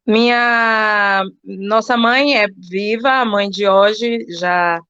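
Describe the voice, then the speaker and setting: Slow-talking woman, dull dry sound. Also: medium pitch, fairly even intonation, bright, forceful tone, clean tone, dry recording. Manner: slow, reflective, many "né" tags. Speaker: guest, daughter of the master. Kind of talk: storytelling in interview.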